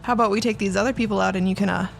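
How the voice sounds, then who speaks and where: A woman's voice: medium pitch, fairly even intonation, racing, vocal fry, soft background music, close to an everyday voice. A woman playing a cheeky, playful female brawler in a fantasy audio drama.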